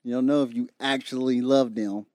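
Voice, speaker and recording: A man's voice: medium pitch, steady tone, brisk, darkish tone, no room sound, studio quality. A man in his twenties co-hosting a casual podcast, laid-back and joking, usually chiming in with short replies. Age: 20s. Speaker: podcast co-host.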